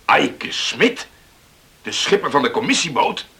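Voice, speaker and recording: A man acting in a Dutch radio drama as a gruff, stubborn, blunt lifeboat skipper who is good-hearted underneath. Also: a little high, big pitch swings, measured pace, bright, forceful tone, full character voice. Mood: surprised, gruff.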